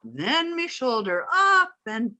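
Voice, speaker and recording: A bright, high, sing-song older Irish landlady, played by a woman. She chatters coyly and theatrically, swooping up and down as she gossips in old-time radio comedy fashion. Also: huge pitch swings, takes it slowly, heavily acted. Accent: put-on Irish.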